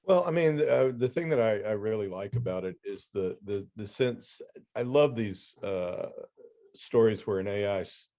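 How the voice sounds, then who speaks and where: A man's voice: on the low side, animated, moderate pace, dark tone. A man speaking as a guest editor in casual discussion, thoughtful and hesitant as he searches for words. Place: remote video call.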